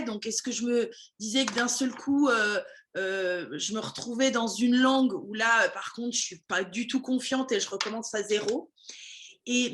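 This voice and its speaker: A woman's voice: on the high side, fairly bright tone. A woman running a language school, chatting casually in an interview with many fillers and restarts.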